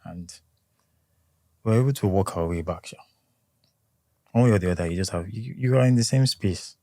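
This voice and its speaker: Calm, deep-voiced Nigerian man. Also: normal speed, dry recording. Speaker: guest. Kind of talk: conversational interview.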